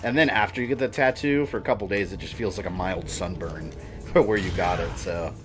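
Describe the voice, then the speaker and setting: A man's voice: deep voice, a little fast, music in the background. A man in his thirties chatting casually and rambling while he streams a video game. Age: 30s. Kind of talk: casual chat while gaming.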